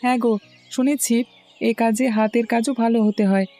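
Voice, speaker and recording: A man's voice: very high-pitched, fairly even intonation, brisk, mellow, soft background music. A man voicing an eager cartoon village newcomer, polite and earnest in his dialogue.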